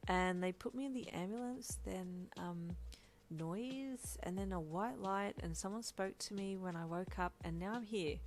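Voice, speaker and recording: A woman voicing a bashful, confused new parent in a story, speaking in a soft, halting way. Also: mid-range voice, close to an everyday voice.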